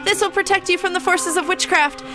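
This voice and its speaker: A woman voicing a melodramatic, high-strung anime bird who fancies himself a demon fighter, declaiming grandly and urgently. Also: steady tone, fairly quick, over music. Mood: urgent, dramatic.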